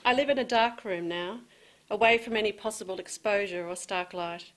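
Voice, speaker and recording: A woman's voice: mid-range voice, moderate pace, very noisy. A woman reading a prose poem as a writer presenting her work.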